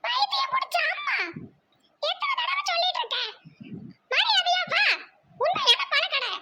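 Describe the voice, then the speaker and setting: A woman voicing a feisty, earnest little daughter in an amateur cartoon comedy, with a southern Tamil Nadu accent and drawn-out, emphatic delivery. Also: extremely high pitch, big pitch swings, very noisy, voice pushed up. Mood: impatient, scolding.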